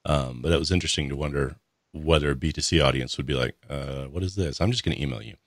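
Super deep-voiced guy hosting a podcast, easygoing questions, dry sound. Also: fast, no echo. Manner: relaxed, asks rambling questions. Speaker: podcast host. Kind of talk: casual interview chat.

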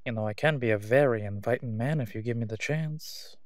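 Casting: male actor as menacing mob boss for audio roleplay, oily, smug, mid-pitched, very dry close sound. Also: no echo, close to an everyday voice. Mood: leering. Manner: oily, taunting, drawn-out delivery with stalling pauses. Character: sleazy, menacing Gotham crime boss, a man, smugly haggling. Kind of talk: solo audio roleplay acting.

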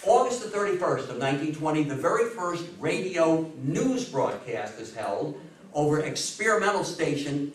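A man in his sixties giving a public history talk, speaking in an emphatic, deliberate storytelling way with rhetorical questions. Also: fairly high pitch, fairly bright tone. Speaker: guest lecturer on history. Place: indoor meeting room with seated audience. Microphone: distant camera microphone. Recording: echoey room, distant mic, faint noise.

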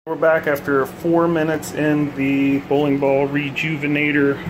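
A man's voice: fairly high pitch, monotone, unhurried, slight background noise, poor audio. A man in his thirties casually demonstrating a homemade gadget in his kitchen, thinking aloud with plenty of ums and uhs. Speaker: bowling hobbyist vlogger. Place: home kitchen, indoors. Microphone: phone held at arm's length.

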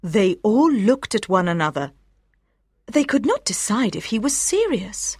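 A woman reading scripted audiobook narration, telling a story with clear articulation for language learners. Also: mid-range voice, very animated, measured pace, no echo, studio quality.

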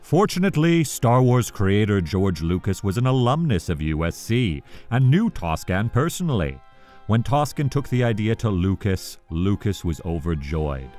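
A man presenting a scripted countdown video essay, with an animated, emphatic delivery that draws out key phrases. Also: low voice.

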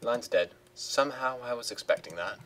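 A man playing a dry, sardonic cat burglar trapped in a house. He speaks low and unhurried, flat and deadpan. The voice stays close to the man's own. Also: distant mic, close to an everyday voice.

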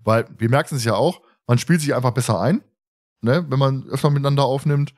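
A man with a mid-pitched voice hosts a casual fan podcast. He talks informally and rambles, often doubling back to repeat a word or phrase. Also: a little fast, a little mellow. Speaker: podcast host.

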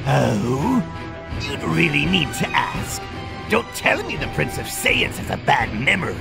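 A gloating alien parasite villain from an anime game. The mocking, theatrical voice swings wildly in pitch and crackles with gravel over music. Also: male voice, very animated, unhurried, heavily acted. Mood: smug, mocking.

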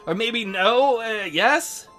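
A man's voice: very high voice, animated, slow pace, pushed and bright, soft background music. A man hosting a casual let's play, rambling and joking in a crude, sarcastic way. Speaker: gaming video host.

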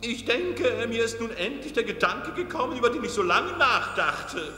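A man's voice: very high voice, big pitch swings, moderate pace, bright, forceful tone, roomy sound, full character voice. A man voicing a scheming, short-tempered, fawning evil wizard in a cartoon dub, theatrical and gloating. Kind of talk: cartoon villain dubbing. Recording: music behind the voice.

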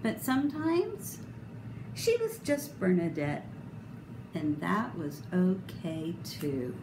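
A woman in her fifties reading aloud to children expressively, with deliberate, dramatic emphasis. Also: on the low side, sing-song. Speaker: storybook reader. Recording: roomy, noticeable background noise.

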